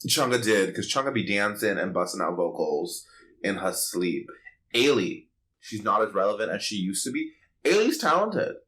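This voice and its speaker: A man's voice: lowish voice, big pitch swings, normal speed, a bit bright, rough recording. A man co-hosting a podcast, chatting casually and giving blunt, sassy opinions in slangy speech. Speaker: podcast co-host. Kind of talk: casual opinionated pop culture chat.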